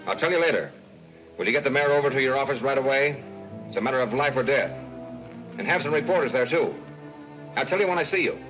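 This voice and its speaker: A man plays a businesslike detective in a 1940s film serial, clipped and urgent at a middle pitch. The old soundtrack is dull, with clear music behind him. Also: animated, quick pace, long pauses, close to an everyday voice. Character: urgent, clipped male detective making calls. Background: loud dramatic music.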